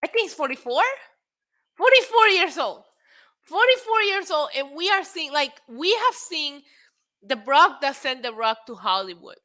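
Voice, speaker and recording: A woman in her late thirties hosting a casual wrestling live stream, excitable and a little tipsy, laughing, swearing and dropping in Spanish phrases as she answers chat. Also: high voice, moderate pace. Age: 30s. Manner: frequent laughter and profanity. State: tipsy from drinks. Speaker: wrestling show host.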